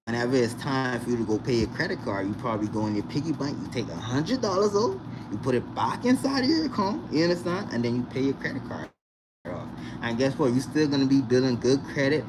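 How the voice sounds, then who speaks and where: A young man from the Bahamas, vlogging in a casual, animated way and often checking in with the viewer. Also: mid-range voice. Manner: animated, repeats "you understand?" to the viewer. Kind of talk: casual direct-to-camera chat. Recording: noisy recording with vehicle sounds behind.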